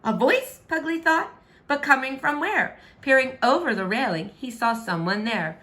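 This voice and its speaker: A woman reading a rhyming children's book aloud in an expressive, rhythmic, dramatic narration. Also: high-pitched, normal speed. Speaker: storybook reader.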